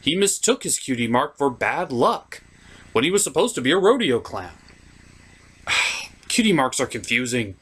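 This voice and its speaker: A man's voice: medium pitch, sing-song, moderate pace, pauses often, a bit bright, husky. A man hosting a comedic cartoon review, delivering scripted commentary and asides with theatrical, mock-dramatic emphasis. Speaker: host of a cartoon review show.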